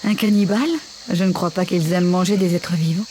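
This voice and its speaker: An actress playing a cool, self-assured, dryly skeptical adventuress in a video game. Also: female voice, lowish voice, fairly even intonation, fairly quick, noisy background, natural voice. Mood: matter-of-fact.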